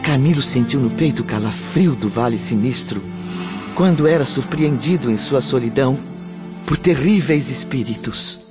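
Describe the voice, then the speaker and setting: An actor as the dramatic storyteller of a spiritual radio serial, reading scripted narration. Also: male voice, mid-range voice, normal speed, natural voice. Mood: solemn suspense. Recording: muffled lo-fi audio under music.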